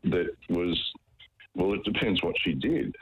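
A man phoning a radio show, giving his opinions in a hesitant, halting way. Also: sits low, lively intonation, breathy edge. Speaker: radio phone-in caller. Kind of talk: casual opinion talk. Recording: dull, band-limited phone call.

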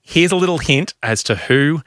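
A man's voice: fairly high pitch, expressive, fairly bright tone, dry recording, clean studio sound. A man filling in as a radio co-host, joking and rambling with stops and restarts. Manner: jokey, rambling, halting. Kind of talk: casual radio banter.

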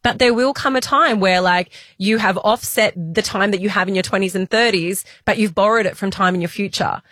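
A woman, co-hosting a podcast, talking in a heartfelt, halting way. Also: mid-range voice, fairly bright tone, no echo. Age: 40s. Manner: heartfelt, halting, near tears. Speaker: podcast co-host. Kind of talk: emotional casual chat.

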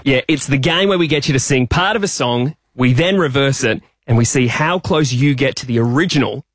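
A man hosting a radio show, briskly announcing segments. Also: medium pitch, dry recording, studio quality. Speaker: radio host.

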